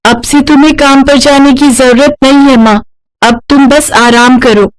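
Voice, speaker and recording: A woman's voice: high-pitched, fairly even intonation, measured pace, pitched above the performer's own voice, low-quality recording. A woman voicing a sweet, eager, plucky schoolgirl in a cartoon story. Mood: caring. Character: sweet, plucky schoolgirl sisters selling pizza.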